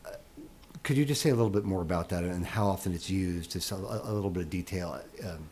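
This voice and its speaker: A man on a school board asking halting questions, with many restarts and fillers. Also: low-pitched, a little mellow, slight background noise.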